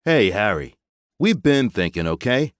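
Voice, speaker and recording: A man's voice: on the low side, very animated, measured pace, no room sound, pitched above the performer's own voice. Guy doing a tough big biker renegade, streety talk, dry close mic. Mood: grudging. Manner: gruff street slang. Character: hulking older male space biker, gruff and tough.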